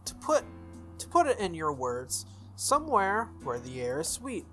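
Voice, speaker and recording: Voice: mid-range voice, huge pitch swings, unhurried, sharp tone, voice pushed up, exaggerated voice. A reader voicing a confident, bossy schoolgirl. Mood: teasing. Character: confident, teasing, bossy teenage schoolgirl mage. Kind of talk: visual novel dialogue read aloud. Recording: music under the voice.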